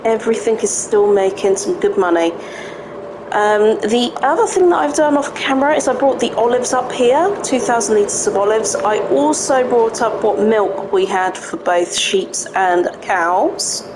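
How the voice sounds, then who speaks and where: Croaky-voiced woman chatting over gameplay. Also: fairly high pitch, expressive, measured pace, noisy background. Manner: chatty, talks to herself while playing. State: slightly croaky voice. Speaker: gaming channel host. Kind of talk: casual let's-play commentary. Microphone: home desk microphone.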